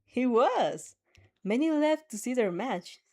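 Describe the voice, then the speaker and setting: A woman's voice: on the high side, animated, slow pace, a little mellow. A young woman reading a fan story aloud, often giggling and laughing as she goes. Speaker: fan audio reader.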